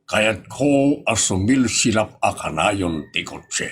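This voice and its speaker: Reluctant Ilocano grumbler, played by a man, low-pitched, very slow, drawling, comedy drama. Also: animated, husky, exaggerated voice. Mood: defensive.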